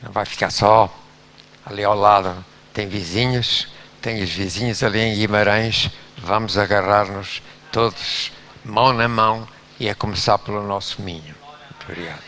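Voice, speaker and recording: A man's voice: lowish voice, fairly even intonation, moderate pace, bright, forceful tone, slight background noise. A man gives an exhorting church address in a visiting pastor's role.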